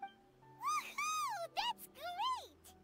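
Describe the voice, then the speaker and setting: A woman voicing a small, childlike, naive and cheerful fairy companion in a video game, squeaky and exclamatory. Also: big pitch swings, extremely slow, full character voice. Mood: excited, cheerful. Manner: refers to self in third person, exclamatory. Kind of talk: video game character dialogue. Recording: loud background music.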